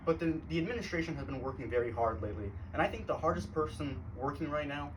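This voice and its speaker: A teenage man from Los Angeles doing a deadpan live stand-up set, often laughing at his own jokes. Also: fairly high pitch, fast. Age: teens. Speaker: stand-up comedian. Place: comedy club stage, live audience. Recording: noisy live room, mic at a distance.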